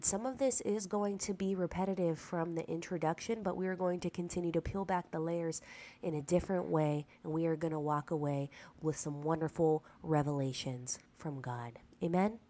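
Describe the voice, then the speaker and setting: A woman's voice: on the low side, normal speed, mellow. Earnest woman preacher, slightly sick. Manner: earnest and emphatic, with rhetorical asides to the listener. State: a little sick. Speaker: podcast host. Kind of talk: preaching-style podcast talk.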